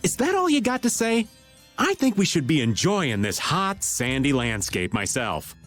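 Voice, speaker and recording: A man's voice: fairly high pitch, wildly swooping pitch, moderate pace, a bit bright. A man voicing a wry, laid-back middle-aged rogue with a teasing, flippant manner in a video game. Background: background music.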